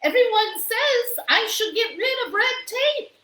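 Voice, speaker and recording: A woman reading a storybook aloud and voicing a cheerful, literal-minded housekeeper in a high, bubbly, earnest voice. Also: animated, unhurried, pushed and bright, full character voice. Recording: roomy echo.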